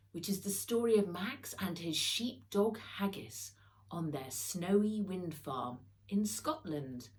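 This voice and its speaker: A woman narrates a children's storybook in a slightly low voice. She takes her time and varies her pitch widely, giving the action words dramatic emphasis. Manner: dramatic, with sound words acted out. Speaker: author reading own book.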